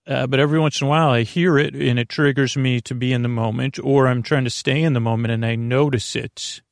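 Male sleep podcast host, very gravelly and creaky, dry close sound, rambling and halting, whimsically self-deprecating. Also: mid-range voice, steady tone, dry recording. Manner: meandering, halting, wandering off mid-thought. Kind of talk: rambling bedtime monologue.

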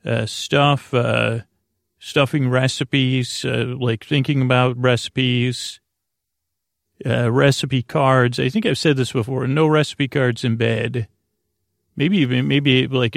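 Creaky, meandering man hosting a bedtime podcast. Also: mid-range voice, steady tone, unhurried, no echo, clean studio sound. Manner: meandering, self-deprecating, soothing, easily distracted. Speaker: podcast host. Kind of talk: rambling bedtime podcast monologue.

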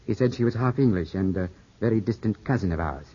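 On an old, muffled radio drama, a young English heir in grief. The voice is low and rough-edged. He is clipped, intense and full of suspicion. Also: animated, fast talker, warm tone. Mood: troubled, insistent. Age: 20s. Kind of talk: 1950s radio drama acting. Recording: muffled, scratchy 1950s radio broadcast.

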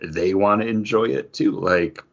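A man chatting casually as a podcast co-host, talking in halting bursts full of filler words. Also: on the low side, vocal fry, a bit bright, dull audio. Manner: halting, peppered with "like". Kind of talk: casual chat.

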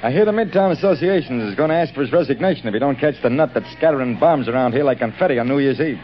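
A man's voice: medium pitch, animated, rapid-fire, natural voice. An actor playing a blunt, slangy, tough-talking policeman in 1930s radio style. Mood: wry, edgy. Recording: muffled old radio, music behind.

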